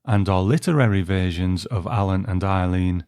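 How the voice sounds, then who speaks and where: A man's voice: low voice, moderate pace, background noise. A man hosting and narrating a scripted review with story readings. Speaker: host and narrator.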